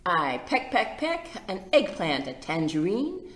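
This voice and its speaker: A woman's voice: mid-range voice, big pitch swings, a little slow. A woman voicing an eager, playful young woodpecker in a bouncy, singsong picture-book read-aloud. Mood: busy, gleeful. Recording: echoey room with background noise.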